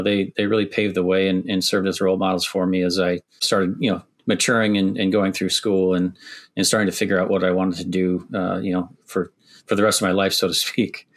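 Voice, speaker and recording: A middle-aged man from Wisconsin with a Midwestern accent softened by years in Canada, answering interview questions thoughtfully with many restarts. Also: fairly low pitch. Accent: Midwestern American, softened by years in Canada. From Wisconsin, USA. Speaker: construction executive guest.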